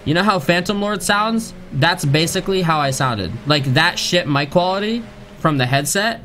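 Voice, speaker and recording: A man's voice: high-pitched, expressive, moderate pace, slight background noise. A young man streaming a video game, chatting casually and joking with plenty of swearing, recorded on a condenser studio mic. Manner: loud, profane, joking. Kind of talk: casual gaming stream chat and roleplay.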